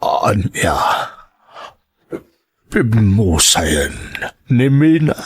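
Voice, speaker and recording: An actor playing a defensive middle-aged father in a radio drama, with a rough, husky voice and gruff, halting delivery. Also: lowish voice, very animated, slight background noise, heavily acted. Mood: shaken, defensive. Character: defensive, well-off middle-aged father hiding a secret family. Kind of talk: Filipino radio drama acting.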